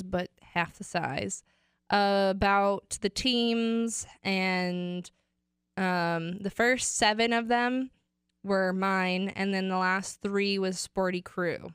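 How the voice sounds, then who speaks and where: A woman's voice: mid-range voice, clear, resonant tone, no room sound, clean studio sound. Sick American woman co-hosting sports talk. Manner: chatty, quick, asks the quiz questions. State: sick, losing her voice. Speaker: co-host. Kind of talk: casual sports talk podcast.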